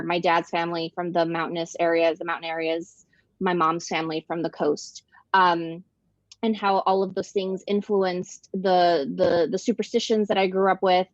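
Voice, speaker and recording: A woman's voice: medium pitch, no echo, muffled recording. A woman from Ecuador speaking as an author on a panel, chatty, with many fillers and restarts. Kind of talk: casual panel discussion.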